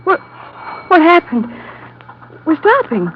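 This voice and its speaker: A woman's voice: extremely high pitch, wildly swooping pitch, slow, exaggerated voice. An actress playing an anxious, complaining young female sidekick in a 1950s radio drama. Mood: curious, excited. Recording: muffled, noisy old radio recording.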